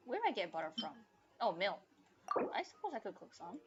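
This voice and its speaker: A woman's voice: medium pitch, very animated, fairly quick, highs cut off, slight background noise. A woman doing casual live gameplay commentary, muttering to herself and swearing offhandedly, with game music behind her. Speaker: game streamer.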